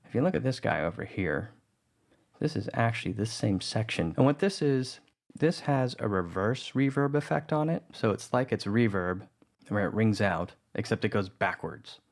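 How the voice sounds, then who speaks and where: A man with a fairly low voice talks through a music-editing tutorial. He keeps it relaxed and conversational, thinking aloud as he explains each trick. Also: moderate pace, gravelly. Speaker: tutorial narrator.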